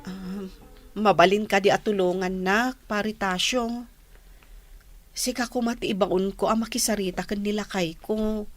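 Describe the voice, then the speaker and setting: A woman with an Ilocano accent playing a sheepish, hesitant wife in a radio drama, speaking haltingly. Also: medium pitch, steady tone, soft background music, lightly acted.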